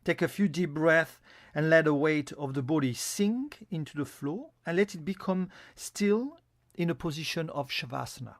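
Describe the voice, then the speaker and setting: A man giving a guided yoga nidra meditation as an instructor, in a calm, soothing, instructional manner. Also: fairly high pitch, moderate pace, no room sound, clean studio sound.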